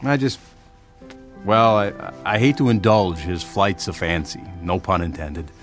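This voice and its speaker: A man's voice: deep voice, measured pace, faint music behind. An actor playing a cautious but supportive father in an audio drama, wry and a little hesitant. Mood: reluctant, doubtful. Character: cautious father of a young boy, grudgingly supportive.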